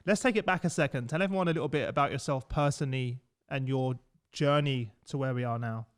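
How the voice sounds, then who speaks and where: A man's voice: mid-range voice, fast talker. A man hosting a podcast interview, asking questions in a casual, easygoing way and laughing easily. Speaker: podcast host.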